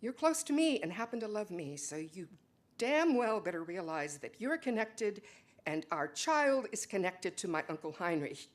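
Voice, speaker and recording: A woman performing an earnest, conflicted Mennonite wife in a dramatic stage reading, halting and emotional. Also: medium pitch, big pitch swings, close to an everyday voice.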